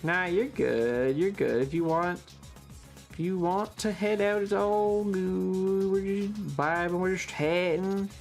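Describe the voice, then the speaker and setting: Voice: mid-range voice, fairly even intonation, slow pace, gravelly, a little mellow, faint music behind. A livestream host chatting casually with viewers in a rambling, loose, casually profane way. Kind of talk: casual chat with stream viewers.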